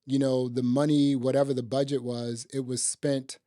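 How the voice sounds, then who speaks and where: A man's voice: medium pitch, flat delivery, normal speed, mellow, no room sound, studio quality. A man hosting a podcast, chatting casually about movies in a conversational way peppered with little asides. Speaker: podcast host. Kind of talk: casual movie review chat.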